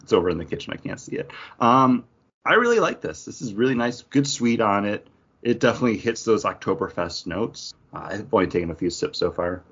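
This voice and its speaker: A man's voice: lowish voice, sing-song, a little fast, a bit bright, slightly rough, dull audio. A man from Michigan co-hosting a podcast in a casual, bantering style. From Michigan, United States. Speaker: podcast co-host.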